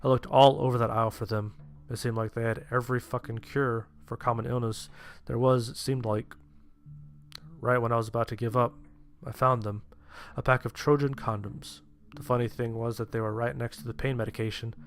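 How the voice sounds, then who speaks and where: A man's voice: medium pitch, level tone, a little fast, lightly acted. A man playing a sheltered, anxious young survivor, narrating his story in the first person in a plain-spoken way. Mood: narrating, sheepish. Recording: music behind the voice.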